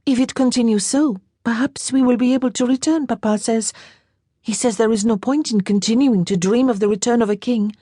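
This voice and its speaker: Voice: mid-range voice, brisk, warm tone, dry recording, clean studio sound, voice pushed up, close to an everyday voice. A narrator voicing a playful, teasing young French girl in an audiobook, in a lilting, coquettish manner. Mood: wistful. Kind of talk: audiobook character voice.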